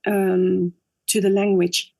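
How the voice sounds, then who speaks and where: Austrian-accented woman, halting and thoughtful. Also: medium pitch, flat delivery, gravelly, darkish tone. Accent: Austrian German. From Upper Austria. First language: German. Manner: thoughtful, halting with frequent fillers. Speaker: guest, actress and director. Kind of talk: interview conversation.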